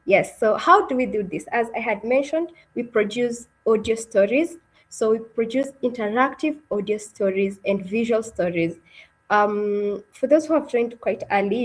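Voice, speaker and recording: A woman's voice: fairly high pitch, low-quality recording. A woman hosting an online session as an informal presenter, often putting questions to her listeners and checking in with them. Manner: addresses listeners with questions and repeated check-ins. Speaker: webinar host.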